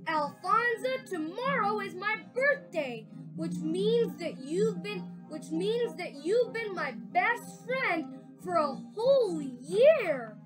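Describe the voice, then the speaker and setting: A woman voicing an eager young boy, reading haltingly and repeating phrases, with music behind. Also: extremely high pitch, lively intonation, pitched above the performer's own voice. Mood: excited.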